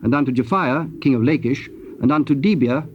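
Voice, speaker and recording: A man narrating a scripted, dramatized scripture reading in a solemn, measured manner. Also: medium pitch. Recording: muffled old cassette, faint noise.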